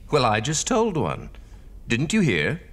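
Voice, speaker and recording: An actor playing a smug, all-knowing horror show announcer in a theatrical, pompous manner. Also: male voice, mid-range voice, huge pitch swings, unhurried, fairly bright tone, full character voice. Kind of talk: horror anthology radio announcing.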